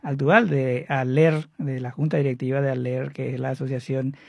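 A man hosting live radio. He explains things in a relaxed way and uses frequent fillers. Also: mid-range voice, moderate pace, gravelly, husky, no echo. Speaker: radio host.

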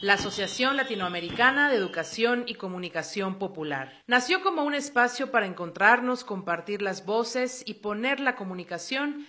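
Bright-voiced woman reading a script. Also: medium pitch, lively intonation, moderate pace, few pauses. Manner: clear, formal, declamatory. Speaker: radio presenter. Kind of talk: scripted narration.

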